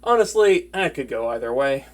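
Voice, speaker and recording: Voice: mid-range voice, very animated, moderate pace, lightly acted. A performer voicing an earnest, flustered young wizard in an intimate fantasy audio drama, chatty and prone to anxious stammering. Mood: thoughtful, chatty.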